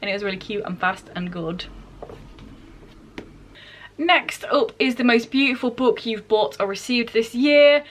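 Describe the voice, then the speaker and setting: A woman's voice: fairly high pitch, a little slow, long pauses. A woman chatting casually to camera in a book vlog, informal and self-deprecating, with dry asides. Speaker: book vlogger.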